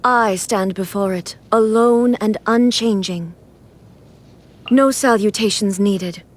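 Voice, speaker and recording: A woman's voice: medium pitch, takes it slowly. An actress voicing an imperious, aloof female thunder deity and ruler in a video game, speaking formally and with stately measure. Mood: solemn, commanding. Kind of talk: scripted video game character voice lines. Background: background music.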